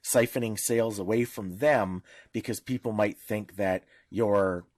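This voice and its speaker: A man's voice: lowish voice, fairly even intonation, measured pace, a little mellow, no echo. A man speaking as a podcast panelist in informal discussion, explaining in a didactic way with examples.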